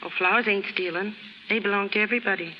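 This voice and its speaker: An American actress playing a brash, warm-hearted young working-class woman in a 1930s radio drama, slangy, ungrammatical and cheeky. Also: medium pitch, fairly even intonation, quick pace, close to an everyday voice. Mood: caring, frank. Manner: slangy, ungrammatical, cheeky, putting on airs at times. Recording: hissy 1930s radio recording, reverberant stage.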